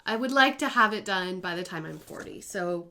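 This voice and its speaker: A woman's voice: on the low side, animated, moderate pace, bright, forceful tone, slight background noise. A woman in her thirties talking casually at home about her cross-stitch projects, in a chatty, rambling way with small self-corrections. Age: 30s. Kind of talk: casual chatty crafting vlog.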